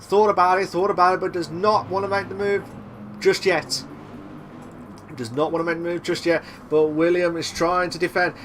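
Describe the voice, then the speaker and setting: A young man doing live sim racing commentary, excitable and repetitive, with a voice he says he is losing. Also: high voice, normal speed, slight background noise. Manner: excitable, repeats himself, shouts at big moves. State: losing his voice.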